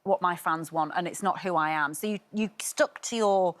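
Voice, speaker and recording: A woman with a Scottish accent hosting a morning TV sofa interview, friendly and gently prompting. She asks her questions in a conversational way and leaves room for her guest to answer. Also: lowish voice, normal speed. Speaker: TV host. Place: TV studio.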